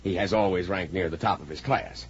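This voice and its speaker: A man acting a hearty, self-important middle-aged wealthy American father in a 1940s radio drama, assured and brisk. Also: low voice, husky. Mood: hearty, eager. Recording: muffled, noisy vintage 1940s radio broadcast.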